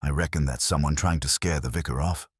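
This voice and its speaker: A man's voice: rumbling low, brisk, breathy edge, no echo, clean studio sound. A man voicing a plain-spoken, sceptical police sergeant in a detective story, blunt and questioning.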